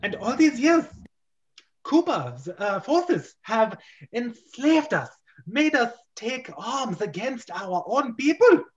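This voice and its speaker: A man's voice: very high-pitched, sing-song, slow, muffled recording, pitched above the performer's own voice, exaggerated voice. A man voicing an earnest, aggrieved rebel lieutenant in an amateur script reading. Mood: bitter, earnest.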